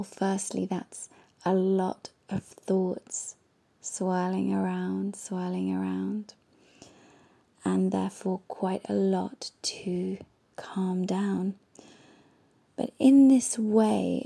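A woman guiding a meditation in a gentle, soothing, half-whispered voice. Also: medium pitch, vocal fry, breathy edge. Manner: gentle, slow and soothing instructions. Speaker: meditation guide. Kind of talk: guided meditation.

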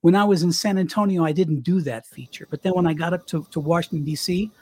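Older male guest, youthful slightly high voice, husky edge, lively storyteller. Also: fast. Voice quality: young-sounding voice. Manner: chatty, animated storyteller. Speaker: guest, former radio DJ. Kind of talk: casual storytelling interview.

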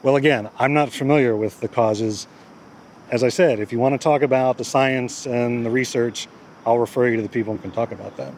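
A man's voice: mid-range voice, steady tone, fairly quick, a little mellow. A man with a mid-range voice answers questions outdoors in a hesitant, evasive way, full of fillers. Faint traffic hum sits behind him. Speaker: park service official. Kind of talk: field interview. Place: outdoors in a flooded park, traffic nearby.

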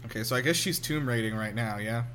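A man's voice: medium pitch, quick pace, background noise. A man in his twenties hosting a casual film commentary chat, asking lots of questions as he goes. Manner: inquisitive, asks lots of questions. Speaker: podcast host.

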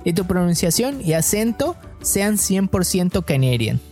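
Man, Mexican coastal accent, podcast co-host, relaxed slangy chat, faint music behind. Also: high-pitched, unhurried.

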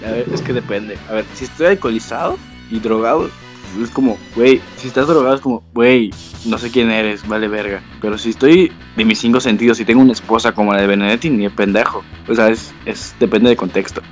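A man's voice: on the low side, fast talker, soft background music. A young man with a Mexican Spanish accent, trading crude jokes in casual banter with friends. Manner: crude, joking, laughs often.

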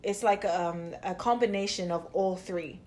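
A woman with an Australian accent, a guest in a casual chat, talking in a relaxed way with lots of "you know" fillers. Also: on the low side, unhurried, slight background noise. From born in New Zealand, raised in Australia. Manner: chatty, many "you know" fillers, laughs easily.

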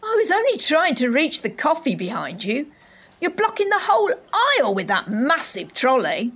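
An actress playing a calm, polite middle-aged shopper who quietly steals. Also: female voice, high-pitched, moderate pace, husky, exaggerated voice. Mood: defensive. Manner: calm, polite, matter-of-fact. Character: cool sly female shopper, forties, shoplifter.

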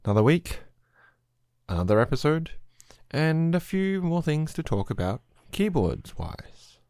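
A man's voice: fairly high pitch, big pitch swings, a little slow, darkish tone, clear, resonant tone, dry recording, clean studio sound. A middle-aged Australian man hosting a podcast, talking casually through a head cold in a rambling, explanatory way. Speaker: podcast host. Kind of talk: casual solo podcast talk.

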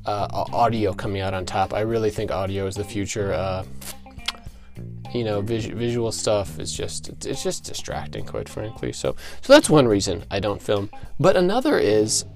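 A man with a Midwestern American accent hosting a solo comedy podcast, speaking in a halting, stammering, mock-earnest and self-important deadpan. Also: fairly low pitch, creaky, soft background music. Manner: halting, stammering delivery with repeated words. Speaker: podcast host.

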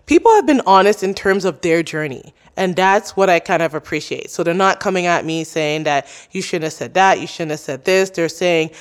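A woman's voice: fairly low pitch, moderate pace, few pauses, smooth. Chatty woman podcaster, filler-heavy. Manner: chatty, many "like" and "you know" fillers. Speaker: podcast host, interview guest. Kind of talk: casual interview chat.

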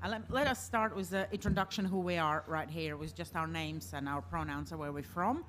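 A woman from Latvia moderates a live panel, pausing often with filler sounds as she frames the discussion. Her voice sits on the lower side. The recording is a live event capture with faint noise in the background. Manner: hesitant, many filler sounds.

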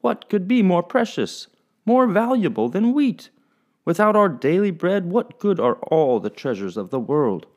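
A man's voice: sits high, big pitch swings, measured pace, darkish tone, voice pushed up. A man voicing an earnest sea captain who declaims his lines in a raised, carrying voice. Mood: pleading. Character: earnest grown sea captain, proud and joyous.

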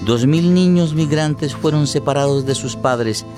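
A man's voice: on the high side, unhurried. A man narrating a scripted radio play in a dramatic storytelling style. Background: music with guitar.

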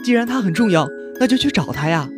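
A woman playing an eager, innocent and polite young boy in a fantasy audio drama, earnest and lively. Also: mid-range voice, quick pace, over music, close to an everyday voice. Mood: eager, questioning.

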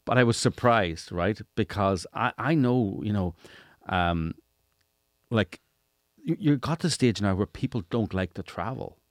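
A man's voice: fairly low pitch, lively intonation, a little slow, no room sound, studio quality. A middle-aged man, chatting as an interviewer in a rambling, anecdotal way. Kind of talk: casual conversational interview.

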